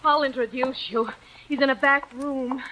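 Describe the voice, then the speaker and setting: A woman's voice: sits high, fairly even intonation, moderate pace, bright, forceful tone, a little hiss or hum, poor audio. An actress playing a distraught young woman bent on revenge in a 1940s radio drama, tense and bitter.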